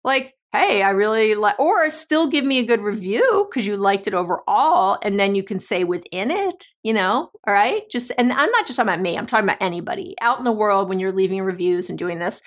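A woman hosting an advice talk, chatty and a little hoarse, often restarting her sentences and laughing. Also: medium pitch, lively intonation, fairly quick, few pauses. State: slightly hoarse. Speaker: podcast host and coach. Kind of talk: casual advice monologue.

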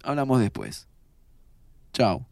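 A man's voice: mid-range voice, wildly swooping pitch, slow pace, vocal fry, a little mellow, a little hiss or hum, exaggerated voice. A man playing a sardonic, restless young Argentine man in an audio drama. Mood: wry. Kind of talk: audio drama acting, muttering to himself.

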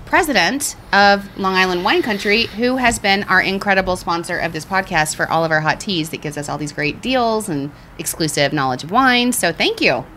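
A woman podcast host who gushes and gets excited, with sharp jumps in her voice and a filler "like" in every other line. Her pitch sits in the middle of the range. A faint hiss runs behind her. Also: normal speed, hardly any pauses. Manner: gushing, excitable, says like a lot. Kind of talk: casual chat. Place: vineyard.

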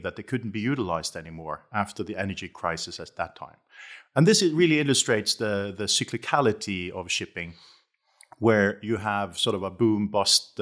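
A middle-aged man with a Norwegian accent, answering as an interview guest in a halting, conversational way with repeated words and hesitations. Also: on the low side, fairly bright tone. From west coast of Norway. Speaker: interview guest, shipping company chief executive.

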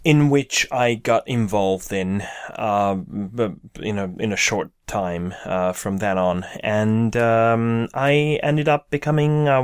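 A man's voice: medium pitch, clear, resonant tone. A young man from Hungary, an English speaker, hosting a casual podcast chat, hesitant with many restarts. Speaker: podcast host.

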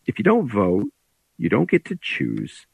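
Male author in conversation: lowish husky voice, anecdotal and explanatory, dry close sound. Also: huge pitch swings, unhurried, no echo, dull audio. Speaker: author discussing his book. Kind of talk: conversational interview talk.

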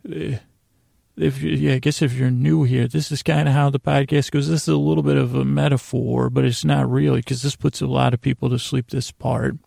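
A man hosting a podcast in a rambling, lighthearted bedtime monologue, halting and drifting into absurd tangents, with a gravelly, creaky voice. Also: medium pitch, little pitch movement, dark tone, no room sound, clean studio sound. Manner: meandering, halting, with trailing tangents. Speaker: podcast host. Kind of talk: rambling bedtime monologue, meant to lull listeners to sleep.

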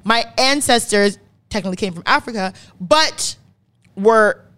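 A woman's voice: medium pitch, animated, unhurried, fairly bright tone. She is a woman in her twenties from Canada with a mid-range voice. She talks like an opinionated podcast host explaining something to a friend. Her delivery is emphatic, leaning on repeated words and free with swearing. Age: 20s. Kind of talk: casual chat, opinionated explaining.

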